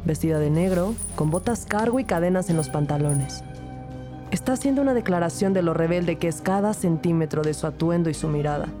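A woman's voice: lowish voice, a little fast, music in the background, close to an everyday voice. An actress playing a composed police detective who narrates her case in a scripted crime audio drama. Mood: composed, serious.

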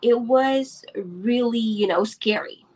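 A woman's voice: on the high side, takes it slowly, darkish tone. Hesitant woman, business owner. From Philippines. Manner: hesitant, many fillers and restarts, laughs often. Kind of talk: casual interview.

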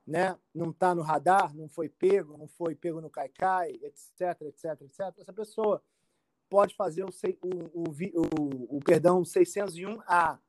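Male expert guest, Brazilian accent, informal explanatory answers, halting delivery with frequent check-ins, dry sound. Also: sits high, darkish tone, no room sound. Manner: halting, repetitive, checks understanding often. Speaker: immigration expert being interviewed.

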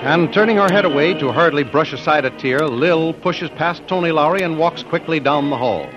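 High-pitched vintage radio serial announcer, man. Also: a little fast. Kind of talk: 1930s radio scripted narration. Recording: dull vintage radio recording. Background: music.

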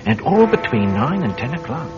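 A man acting in a radio drama as a polite, inquisitive young news writer with a courteous, lively manner. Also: medium pitch, moderate pace, music in the background, lightly acted. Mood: musing, intrigued. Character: polite young British newsman, the sergeant's inquisitive nephew. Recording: dull radio drama recording.

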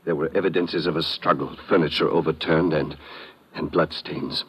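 An actor playing a polished, over-eager official under suspicion in an old-time radio drama, formal and courteous with protesting turns. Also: male voice, very deep voice, fairly even intonation, normal speed, a bit bright, husky, heavily acted. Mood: solemn. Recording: muffled vintage radio recording.

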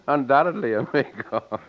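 An actor playing a genial, courteous Mexican cowboy hero with formal phrasing, in an old-time radio western. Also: male voice, medium pitch, animated, unhurried, natural voice. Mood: amused, genial. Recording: dull vintage radio recording.

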